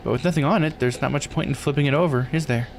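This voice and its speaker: A man's voice: a little high, fast talker, a little hiss or hum. A man live-streaming a visual novel, reading text aloud and musing casually as he plays. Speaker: game streamer.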